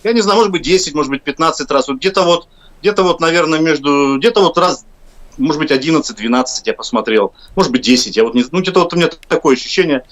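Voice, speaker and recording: A man's voice: on the high side, fast talker, fairly bright tone. A man from Kazakhstan with a Russian accent, a musician guest chatting on a live-stream interview over a video call, rambling with jokey asides. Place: home, abroad on a video call.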